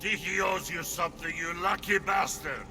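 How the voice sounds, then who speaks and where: A man acting a brutal, foul-mouthed cult boss in a video game, shouting and swearing with menace. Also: high-pitched, fairly even intonation, moderate pace, music in the background, lightly acted. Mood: menacing, contemptuous.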